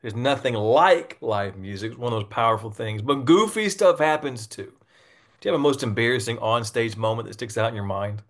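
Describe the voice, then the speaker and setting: A man's voice: medium pitch, fairly quick, fairly bright tone. A man hosting a music interview show in a recording studio, upbeat and easygoing. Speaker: radio show host.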